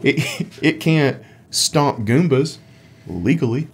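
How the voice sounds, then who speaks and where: A man's voice: mid-range voice, lively intonation, slow pace, husky. A man co-hosting a casual gaming podcast, with a playful, dryly teasing way of talking. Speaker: podcast co-host.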